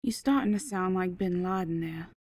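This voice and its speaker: A woman's voice: lowish voice, expressive, moderate pace, a little mellow, husky, dry recording. A woman playing a sardonic, exasperated small-town mother in an audio drama, blunt and sarcastic. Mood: teasing, dry.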